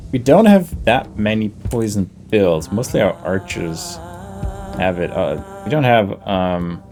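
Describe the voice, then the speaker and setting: A man with a fairly low voice gives casual commentary on a game he is playing. He thinks aloud, deliberating over choices and addressing himself and the characters. Music plays faintly behind him. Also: darkish tone. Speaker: gaming video host.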